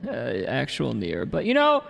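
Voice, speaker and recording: A man's voice: medium pitch, wildly swooping pitch, normal speed, vocal fry, fairly bright tone, no echo. A man wrapping up a tabletop game stream as game master, chatty, laughing often and stumbling over repeated words.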